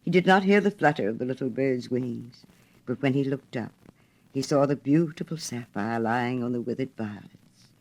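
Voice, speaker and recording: A woman narrating a storybook fairy tale in an expressive reading style. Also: extremely low pitch, moderate pace, rough recording.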